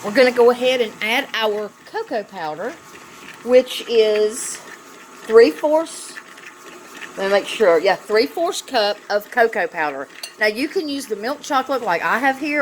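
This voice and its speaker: Chatty woman in a noisy kitchen. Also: mid-range voice. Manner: chatty, affectionate, addresses viewers directly. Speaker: home cooking vlog host. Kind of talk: casual chatty cooking demonstration. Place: home kitchen, indoors. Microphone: phone camera on the counter. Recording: noisy home kitchen with clattering dishes.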